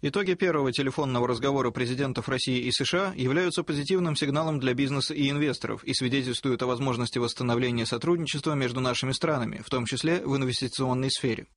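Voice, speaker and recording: Male reader of formal news statements, fast, dry sound, mid pitch. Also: no room sound. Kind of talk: scripted reading of a statement in a news report.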